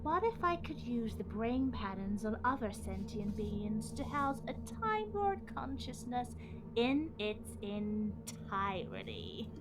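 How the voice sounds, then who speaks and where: High-voiced, slow, gloating villainess. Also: female voice, sing-song, noisy background. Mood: grandiose. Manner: mocking, melodramatic, drawn-out taunting delivery. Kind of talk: sci-fi fan audio drama acting.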